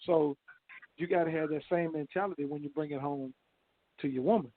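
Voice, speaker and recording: A man's voice: fairly high pitch, a little fast, no room sound. A man in his forties co-hosting a casual radio chat, talking in an earnest, repetitive, sermon-like way. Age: 40s. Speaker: co-host. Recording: dry, phone-like lo-fi line.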